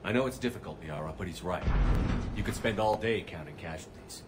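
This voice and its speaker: An actor playing a decisive, hardened military commander who speaks curtly. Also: male voice, sits low, a little fast, heavy background noise, natural voice. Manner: curt, commanding. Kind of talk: video game cutscene acting.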